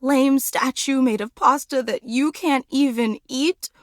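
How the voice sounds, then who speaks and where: High-pitched, gushing, anxious superfan. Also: female voice, a little slow, no room sound, studio quality. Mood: self-pitying, apologetic. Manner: effusive, dramatic, self-deprecating. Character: gushing, anxious, adoring superfan, an animate household object. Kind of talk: video game character voice acting.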